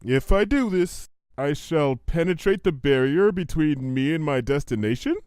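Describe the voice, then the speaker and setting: A man's voice: a little high, expressive, moderate pace, a little mellow, clear, resonant tone, no room sound, voice pushed up, natural voice. A man voicing a scheming, self-assured female unicorn pony in a storybook reading, musing in a theatrical, declamatory way. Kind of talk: fan fiction storybook character voice.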